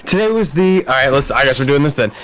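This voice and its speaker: A man's voice: medium pitch, lively intonation, fast talker, fairly bright tone, dry recording. A young man co-hosting a casual movie podcast, upbeat and rattling off lists of release dates. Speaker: podcast co-host.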